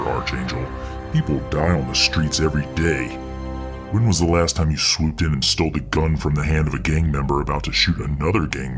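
Man acting a very deep-voiced, domineering muscle-bound supervillain. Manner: deliberate, taunting, theatrical monologuing. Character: huge muscle-bound male supervillain, dominant, contemptuous, righteous. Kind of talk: ASMR audio role-play acting.

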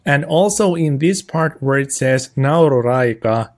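A man's voice: medium pitch, lively intonation, unhurried, darkish tone. Young man explaining cheerfully. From Finland. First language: Finnish. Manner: upbeat, explanatory, thinks aloud with small asides. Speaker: tutorial host. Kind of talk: casual screen-recorded tutorial walkthrough. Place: indoor room with shelves.

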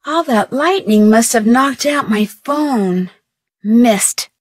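A woman voicing a plucky, curious young detective in a video game, with an American accent and perky, clearly enunciated, expressive delivery. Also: a little high, takes it slowly, no echo, studio quality. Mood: musing, focused. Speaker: game character and tutorial guide.

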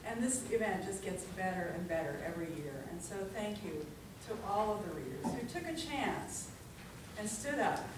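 A middle-aged woman speaking and reading expressively at a public event, with deliberate phrasing, picked up from a distance in a meeting room. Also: lively intonation. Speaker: librarian and professor reading at an event. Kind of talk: informal public talk and poetry reading. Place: library meeting room with seated audience. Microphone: distant camera. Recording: roomy, distant microphone, clear rumbling room noise.

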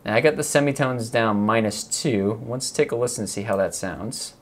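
Young man, casual tutorial host, mid-pitched voice, jokey, small room, lapel mic. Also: moderate pace, heavy background noise. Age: young adult. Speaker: host of video editing tutorial show. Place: small home studio room, indoors.

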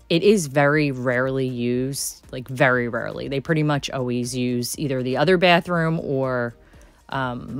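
A low-pitched woman in her thirties chats through her cleaning routine with easy enthusiasm. Her voice-over sounds dry and close, and faint music plays underneath. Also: dry recording. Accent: Texan. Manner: chatty and enthusiastic, says "like" often. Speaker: cleaning vlogger. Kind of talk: casual voice-over narration.

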